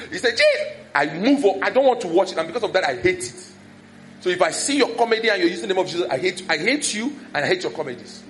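High-voiced male preacher. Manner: conversational, telling personal stories. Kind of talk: sermon.

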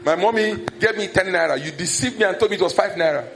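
A man's voice: high voice, fast talker, fairly bright tone, soft background music, rough recording. A man preaching a conversational sermon that is full of personal stories.

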